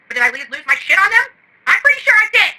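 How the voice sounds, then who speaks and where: A woman's voice: extremely high pitch, sing-song, quick pace, sharp tone, low-quality recording. A middle-aged woman giving unscripted commentary to her online channel's audience, blunt and combative, with salty language.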